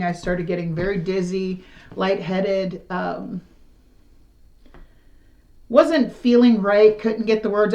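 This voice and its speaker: A middle-aged woman with a raspy, slightly hoarse voice gives a casual tarot reading to the camera. She talks in a frank, rambling, conversational way. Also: medium pitch, darkish tone. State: slightly hoarse after illness. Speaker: tarot reader.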